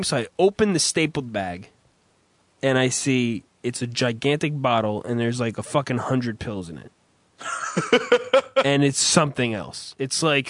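Guy with a slightly raspy higher voice, swearing a lot, dry close mic. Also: a bit bright, no echo. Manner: profane, rambling storyteller. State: raspy from a cough. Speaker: podcast host. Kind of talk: crude comedic podcast chat.

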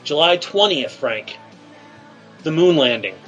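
A male radio show host leading deadpan teasing banter in a halting, filler-filled setup. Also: on the high side, highs cut off, faint music behind.

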